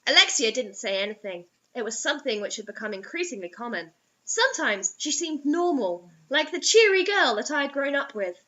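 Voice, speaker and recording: A woman's voice: high voice, very animated, a little fast, a bit bright, highs cut off. A woman reading fan fiction aloud for comedy, breaking off into sarcastic, mock-dramatic asides.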